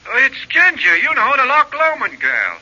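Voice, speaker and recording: An actor playing an eager, flustered, deferential cafe piano player in a 1940s radio drama. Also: male voice, extremely high pitch, wildly swooping pitch, moderate pace, sharp tone, full character voice. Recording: muffled 1940s radio recording.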